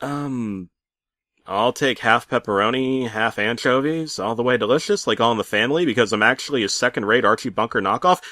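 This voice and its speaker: A man's voice: medium pitch, a little fast, sharp tone, no echo, clean studio sound, lightly acted. A man playing a hapless, crude husband caught up in a comic horror story. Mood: flippant. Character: hapless, cowardly, crude husband narrator in dialogue.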